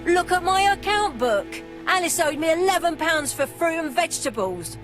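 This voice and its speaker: Brash high-voiced fruit seller. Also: female voice, lively intonation, normal speed, a bit bright, soft background music. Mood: indignant. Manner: blunt, colloquial. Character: brash, defensive working-class woman fruit seller. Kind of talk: video game voice acting.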